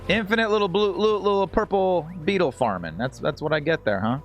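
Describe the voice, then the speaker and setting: A man's voice: high-pitched, a little fast, faint music behind. A man chatting casually while live-streaming a game, with slangy, profane, easygoing talk. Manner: informal, slangy and profane, laughs easily.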